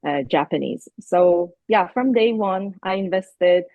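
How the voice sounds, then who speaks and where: A woman from Iran whose first language is Persian, the guest in an interview, speaking in a thoughtful, measured way. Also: lowish voice, expressive, dry recording. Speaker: keynote guest, associate professor. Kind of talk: relaxed interview conversation. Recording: tinny phone-like line, dry.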